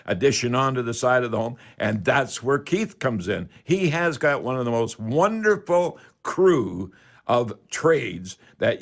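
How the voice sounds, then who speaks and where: An older man with a Canadian accent hosting a home improvement call-in radio show, talking in a folksy, rambling way like a friendly salesman making a pitch.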